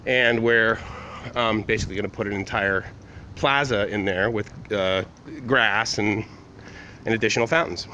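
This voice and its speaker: A man's voice: lowish voice, fairly bright tone. A man talking casually to camera while walking outdoors, in a rambling, digressive way, often correcting himself. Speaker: vlogger. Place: outdoors, walking through a university campus. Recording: noisy outdoor recording with wind.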